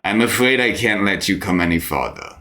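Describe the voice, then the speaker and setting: A man's voice: low-pitched, lively intonation, a little fast, sharp tone, heavily acted. A man voicing a grandiose undead cardinal commander in a tabletop game, formal and commanding. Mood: pompous, menacing.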